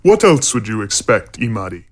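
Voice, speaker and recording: An actor playing a middle-aged warrior-monk villain in a fantasy audio drama, calm, persuasive and condescending as he preaches. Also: lowish voice, moderate pace. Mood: smooth, persuasive.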